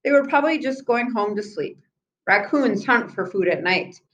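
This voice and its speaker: Woman as storybook boy, young, curious, eager, questioning, raised pitch. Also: animated, normal speed, fairly bright tone, lo-fi sound. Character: curious, eager young boys on an egg hunt.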